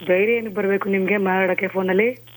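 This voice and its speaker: A woman's voice: medium pitch, steady tone, quick pace, a crowd in the background. A woman argues on a phone call in a curt, scolding, impatient manner. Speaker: prank call recipient. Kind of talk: argumentative phone conversation. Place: on a telephone call.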